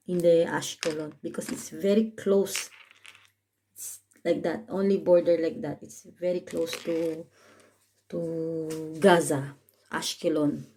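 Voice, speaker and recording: A woman from the Philippines, a Tagalog speaker with a Filipino accent, giving a casual vlog update as a caregiver. She explains slowly in simple English, pausing often with fillers and restarts. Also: on the low side, dark tone, a little hiss or hum. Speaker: caregiver and vlogger. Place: home in northern Israel.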